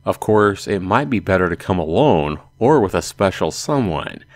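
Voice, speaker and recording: A man's voice: low-pitched, very animated, normal speed, no echo. A man voicing a wry, exasperated teenage schoolboy, the hero of a visual novel. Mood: musing.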